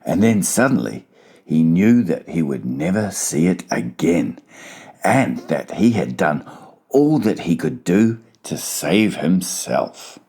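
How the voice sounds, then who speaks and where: A man narrates a children's story in a low, gravelly voice. His pitch moves in lively swings through the dramatized audiobook reading. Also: slow.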